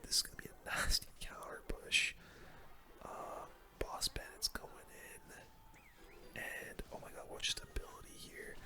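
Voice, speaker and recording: An ASMR gaming creator giving casual play-by-play commentary in a soft, breathy, unvoiced whisper, close to the microphone, with gamer slang. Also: very high voice.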